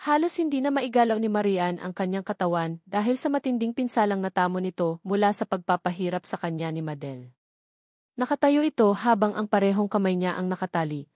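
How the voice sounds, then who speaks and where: A woman's voice: mid-range voice, expressive, fairly quick, a little mellow, dry recording, clean studio sound. A woman narrating a dramatic novel from a script as an audiobook reader.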